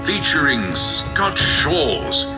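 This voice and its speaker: A man's voice: a little high, very animated, very slow. A man reading scripted credits as the announcer of an audio drama. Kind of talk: scripted narration. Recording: music under the voice.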